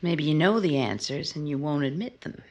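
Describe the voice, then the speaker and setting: A woman's voice: deep voice, moderate pace, slight background noise, natural voice. A woman acting in 1950s-style radio drama as a worldly, forward single woman at a bar, teasing and direct. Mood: probing, wistful.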